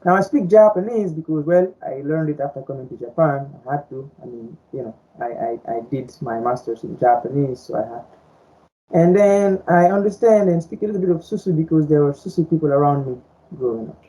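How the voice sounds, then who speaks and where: A man from Guinea whose first language is Fulani, explaining things at a measured pace with lots of "um"s as a podcast guest. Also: on the high side, lively intonation, mellow. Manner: measured, explanatory, with many fillers. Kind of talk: casual podcast discussion. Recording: dull lo-fi recording.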